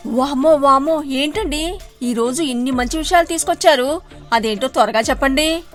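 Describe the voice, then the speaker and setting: A woman acts out an excitable, greedy village wife in a moral story. Her voice is clearly high, full of exclamation and flattery. Faint music plays behind her. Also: normal speed, lightly acted. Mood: delighted, flattering.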